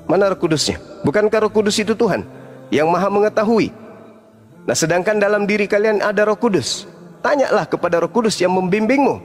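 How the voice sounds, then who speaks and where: A man debating religion as a preacher, pressing with rhetorical questions and swinging from low murmurs to loud emphasis. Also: expressive, a little fast, soft background music.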